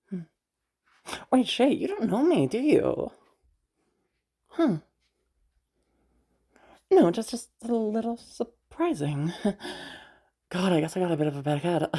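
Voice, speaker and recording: A woman playing a teasing, self-assured, sardonic yet protective young woman in a listener roleplay, rambling and halting as she talks. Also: lowish voice, vocal fry, warm tone, breathy edge, full character voice. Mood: flustered, reassuring. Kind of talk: roleplay audio drama addressed to the listener.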